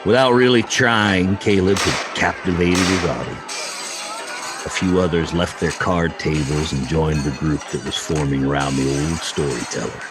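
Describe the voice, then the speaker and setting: A man reading a story as dramatic, measured audiobook narration. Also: very deep voice, background noise. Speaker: story reader. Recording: music and crowd murmur underneath.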